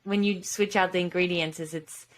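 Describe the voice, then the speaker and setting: A woman's voice: fairly low pitch, steady tone, moderate pace. Curious woman hosting a podcast. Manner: curious, agreeable, frequent affirmations. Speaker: podcast host. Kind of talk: casual interview conversation.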